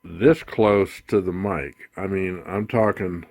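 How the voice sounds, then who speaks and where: A man giving an informal tutorial as a music gear demonstrator, explaining things in a halting, unhurried way. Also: deep voice, gravelly, a little mellow.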